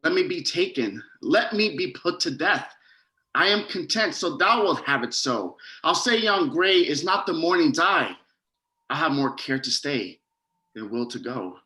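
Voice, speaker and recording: A man's voice: fairly high pitch, lively intonation, unhurried, pushed and bright, poor audio. A man playing a lovestruck teenage boyfriend in a theatrical Shakespearean verse reading. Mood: playful, resigned. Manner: dramatic, theatrical delivery. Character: lovestruck teenage boyfriend reluctant to leave his girl. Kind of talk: Shakespearean verse acting in an online staged reading.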